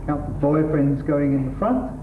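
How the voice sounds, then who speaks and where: Sardonic middle-class English husband played by a man, lightish pitch, exasperated, theatrical; tinny, distant stage recording. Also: normal speed, reverberant, over music, lightly acted. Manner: exasperated, pedantic, dry sarcasm. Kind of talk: theatrical stage comedy acting.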